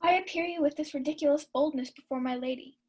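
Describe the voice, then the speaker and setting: A woman's voice: high-pitched, fast, a little mellow, close to an everyday voice. A woman playing a mischievous maidservant reporting to her lady in a Shakespeare radio play. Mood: feigned concern. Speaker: student actor.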